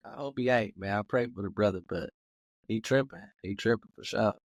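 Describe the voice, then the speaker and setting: A man on a casual podcast, talking in animated bursts with stammering restarts and dropping low when he turns reflective. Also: a little fast, no room sound. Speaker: podcast co-host.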